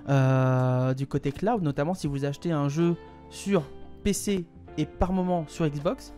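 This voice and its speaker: A man's voice: fairly high pitch, mellow. A man hosting a casual live stream, rambling with fillers and talking to his chat. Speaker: streamer and show host.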